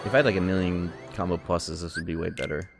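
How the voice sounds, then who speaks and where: A man's voice: low voice, fairly even intonation, quick pace, gravelly. A man giving casual, rambling live commentary while he plays a game, thinking aloud and swearing freely. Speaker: game livestreamer. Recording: music behind the voice. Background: game music.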